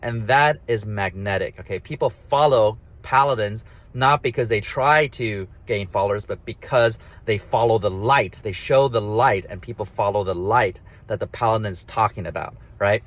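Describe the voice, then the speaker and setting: A man's voice: fairly low pitch, normal speed, a bit bright. A man hosting a solo talk, rambling casually with a nasal, slightly mumbly voice, lots of fillers and emphatic asides. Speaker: host.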